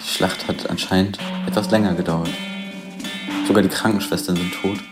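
A man's voice: low voice, fairly even intonation, brisk. A man informally describing a scene as it unfolds, hesitantly piecing the story together. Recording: music behind the voice.

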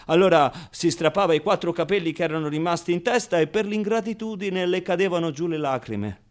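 A scrappy, wounded orphan tells his childhood story in a radio play, acted by a man. The voice sits a little high. The delivery is colloquial and theatrical, full of halting repetitions, moving between outbursts and quiet confiding. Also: close to an everyday voice. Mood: wry, rueful. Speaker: stage actor performing a monologue. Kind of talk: theatrical first-person radio monologue.

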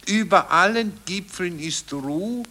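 A vain Viennese court councillor in an old radio play. His voice is fairly high and sharp, swinging with excitable, chatty eagerness as he shows off as an amateur poet. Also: slow, exaggerated voice. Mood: eager, self-important. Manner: self-satisfied, excitable, chatty. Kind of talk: 1940s German radio play acting.

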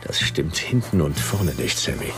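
An actor playing a tough, skeptical private detective in a radio play, with a dry manner. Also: male voice, a bass voice, very animated, normal speed, heavily acted.

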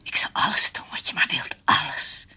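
An actress playing a devoted, possessive housekeeper secretly in love in a radio drama, whispering breathily with intimate fervour. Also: female voice, pushed and bright. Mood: adoring, possessive. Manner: slow, intimate, fervent.

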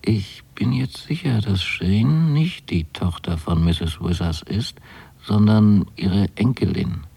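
An actor playing an uneasy, watchful young son in a radio drama, confiding a letter in a hesitant, deliberate way. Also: male voice, lowish voice, gravelly, mellow, husky, exaggerated voice. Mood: uneasy, hushed. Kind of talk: intimate radio drama monologue, spoken as a letter.

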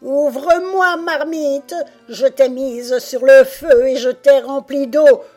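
A woman's voice: fairly high pitch, slow, darkish tone, faint music behind. A woman voicing a furious old witch in a storybook tale, grating and commanding. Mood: enraged. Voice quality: grating, screeching. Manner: commanding, impatient, menacing. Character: furious shrieking old witch, night washerwoman from the marsh.

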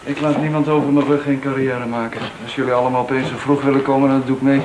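Man acting sardonic clerk, complaining ladykiller, mid-pitched, radio drama, noisy clicky old recording. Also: little pitch movement, fast, natural voice. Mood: sneering. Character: grumbling, mocking office clerk with ladykiller reputation. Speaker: actor in a radio play. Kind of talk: Dutch radio play acting.

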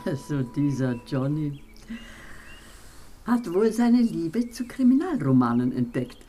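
Low-voiced, chatty crime novelist woman. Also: unhurried, faint music behind. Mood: chatty, amused. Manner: animated, conversational. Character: chatty, inquisitive female crime novelist, fond of murder methods. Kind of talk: German radio drama acting.

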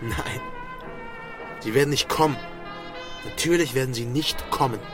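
A man's voice: medium pitch, measured pace, close to an everyday voice. Frustrated, restless man acted with music behind. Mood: weary, frustrated. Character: frustrated, restless man stranded in a plague city. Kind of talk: radio drama acting.

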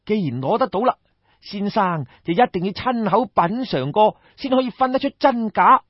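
A man's voice: high voice, expressive, normal speed, no echo, lo-fi sound. A man with a Cantonese accent voicing a brash, crafty young schemer, exclamatory and coaxing, in a storybook tale. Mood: coaxing, insistent.

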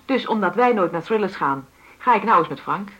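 An actress playing a jealous, direct woman in her forties in a naturalistic radio drama, probing and emotional, with a husky voice. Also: mid-range voice, lively intonation, a little fast, fairly bright tone, lo-fi sound. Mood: jealous, assertive. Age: 40s. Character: jealous, frank forty-year-old woman negotiating with her partner.

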